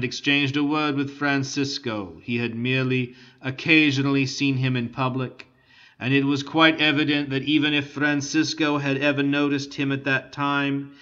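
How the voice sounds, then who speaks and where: A man narrating a classic novel as an audiobook in a steady, measured reading. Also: mid-range voice, highs cut off. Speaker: audiobook reader.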